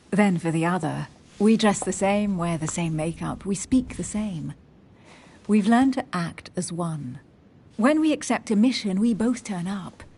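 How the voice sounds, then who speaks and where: A woman's voice: fairly low pitch, a little mellow, breathy edge. An actress playing a proud, guarded young woman agent in a dramatic video game, speaking with cutting, defiant self-assurance. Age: young adult. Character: guarded, proud, sharp-witted young female secret agent.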